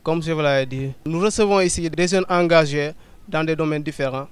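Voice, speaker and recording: Formal male host, smooth voice. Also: fairly high pitch, brisk. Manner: formal, hesitant, many filler sounds. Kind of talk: interview hosting.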